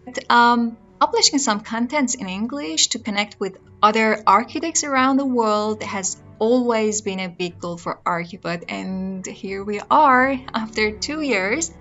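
A woman's voice: on the high side, expressive, measured pace, few pauses, a bit bright, highs cut off, faint music behind. A woman whose first language is Persian, hosting a podcast and introducing her guest in an upbeat way. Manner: upbeat, laughing between phrases. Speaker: podcast host.

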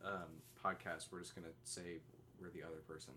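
A man co-hosting a podcast in casual banter, joking, giggly and quick to argue back. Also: low voice, flat delivery, sharp tone, a little hiss or hum. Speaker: podcast co-host.

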